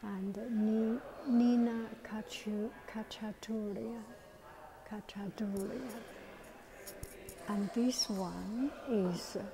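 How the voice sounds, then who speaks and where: Middle-aged woman, slow and deliberate, hushed critical museum commentary, gallery crowd murmuring behind. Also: medium pitch, fairly even intonation, vocal fry, poor audio. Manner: critical, hesitant, whispering at times. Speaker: vlogger touring a museum. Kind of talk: casual spoken commentary. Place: indoor art museum gallery. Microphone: earphone mic, phone camera held at arm's length.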